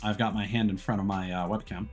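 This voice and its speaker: A man's voice: lowish voice, little pitch movement, quick pace. A man chatting casually to viewers on a livestream in an easygoing, rambling way. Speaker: VTuber livestreamer. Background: music.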